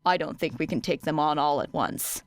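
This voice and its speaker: Brief: woman narrator as a sullen, deadpan schoolboy, lowish and clear, for audiobook dialogue. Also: moderate pace, no echo, close to an everyday voice. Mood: grim. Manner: deadpan, grumbling. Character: grumpy, deadpan, sardonic teenage boy student.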